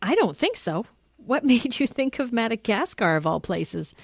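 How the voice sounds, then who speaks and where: Kindly, chatty mom , played by a woman, higher-pitched. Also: big pitch swings, moderate pace, vocal fry, breathy edge, dry recording, studio quality, exaggerated voice. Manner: affectionate, chatty, homely. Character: kindly, chatty mother of a schoolgirl. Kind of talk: children's radio drama acting.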